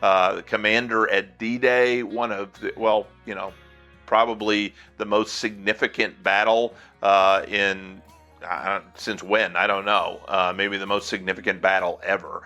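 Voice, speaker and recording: A man's voice: lowish voice, little pitch movement, a little slow, bright, forceful tone, soft background music. An older American man hosting a casual podcast history segment in a conversational, folksy, rambling way. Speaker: podcast host.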